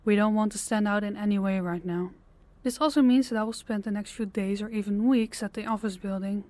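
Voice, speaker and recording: A woman playing a composed, stern female crime boss in an audio drama, speaking deliberately and with authority. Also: mid-range voice, fairly even intonation, mellow, no room sound, close to an everyday voice. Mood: firm, commanding. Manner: authoritative, deliberate, clipped orders. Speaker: voice actor. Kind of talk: audio roleplay drama acting.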